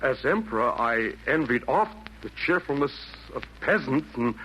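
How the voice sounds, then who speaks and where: A faintly noisy 1940s radio recording carries a repentant male emperor. His voice is bright and medium in pitch. He is theatrical yet humble, feeling his way earnestly through rhymed lines. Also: very animated, moderate pace. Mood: humble wonder.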